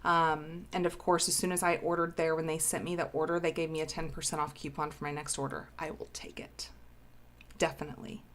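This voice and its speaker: A woman's voice: sits low, flat delivery, a little fast, a bit bright, a little hiss or hum. A woman hosting a casual craft live stream, bubbly and sniffly from a cold, greeting viewers by name.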